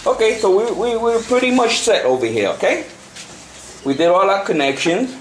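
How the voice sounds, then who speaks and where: A man giving an unscripted hands-on tutorial, talking haltingly and repeating himself as he works through the steps. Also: high-pitched, lively intonation. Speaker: hobbyist presenter of a CB radio tutorial. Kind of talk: unscripted hands-on how-to demonstration. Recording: noisy amateur home video.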